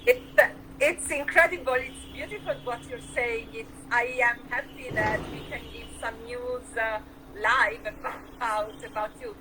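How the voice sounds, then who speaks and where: Bright, eager Italian-accented woman host. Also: on the high side, a little hiss or hum, poor audio. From Switzerland. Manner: enthusiastic, with many uhs and restarts. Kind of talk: interview questions.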